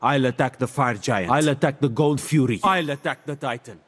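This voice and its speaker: A mystic seer god from a video game, acted by a man, grand and serene with a smug wisdom. He speaks formally and theatrically in measured phrases, and his voice rings out with a long reverb. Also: mid-range voice, a bit bright, lightly acted. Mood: composed, tactical. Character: mystic male seer deity, serene, grandiose, smugly wise. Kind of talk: video game character voice lines.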